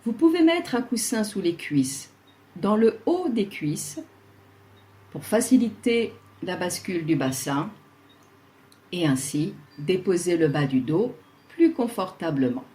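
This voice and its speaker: A woman leading a guided yoga relaxation, speaking soothingly and leaving long pauses between short instructions. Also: on the low side, big pitch swings, warm tone, rough recording.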